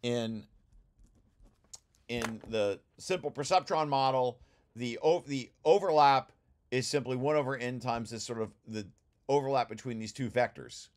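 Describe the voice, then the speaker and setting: A man giving an informal technical walkthrough, explaining as he reads and often stopping to correct himself. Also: medium pitch. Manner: halting, self-correcting, explanatory. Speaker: presenter reading through own technical paper.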